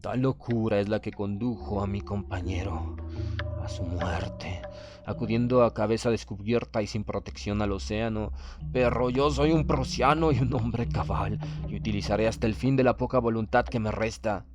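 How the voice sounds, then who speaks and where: Un hombre que lee un audiolibro de terror como un orgulloso oficial naval prusiano condenado, con tono grave y pausado. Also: male voice, fairly low pitch, fairly even intonation, over music, lightly acted. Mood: resigned resolve. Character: proud, doomed Prussian naval officer writing his last account. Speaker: audiobook reader. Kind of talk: dramatic horror audiobook narration.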